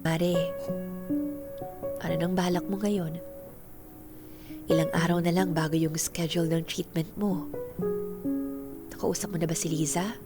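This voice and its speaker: A woman's voice: lowish voice, steady tone, a little slow, long pauses, over loud music. A woman playing an outspoken, caring middle-aged auntie in a Filipino radio drama, with a blunt, nagging manner. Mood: concerned, nagging.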